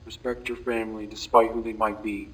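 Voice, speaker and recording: A man playing a guilt-ridden, brooding young man confessing dark memories in a somber, halting audio-log monologue. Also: fairly low pitch, flat delivery, close to an everyday voice. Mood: haunted, remorseful. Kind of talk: scripted audio-log monologue, horror fan drama. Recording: distant mic with faint background noise.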